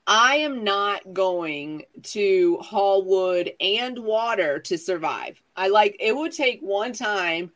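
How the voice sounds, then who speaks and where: A man's voice: high-pitched, animated, slow, few pauses, a bit bright. A man in his forties telling casual comic stories as a chat show guest, sassy, dramatic and self-mocking. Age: 40s.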